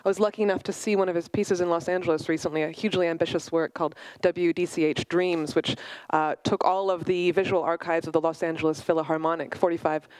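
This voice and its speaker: Woman event host, fast, crisp stage introduction, dry sound. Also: on the low side, steady tone, no room sound, rough recording. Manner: brisk, warm introduction with fillers. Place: theater stage.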